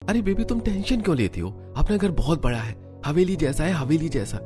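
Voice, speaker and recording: A man's voice: fairly high pitch, sing-song, brisk, over music, pitched above the performer's own voice. A man voicing an eager, placating young husband in a cartoon story, coaxing and making big promises. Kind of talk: Hindi cartoon story dialogue.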